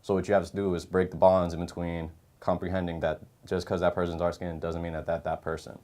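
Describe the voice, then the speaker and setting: A man's voice: deep voice, level tone, quick pace, a little mellow. A young man on a discussion panel, telling a personal story casually and animatedly. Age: young adult.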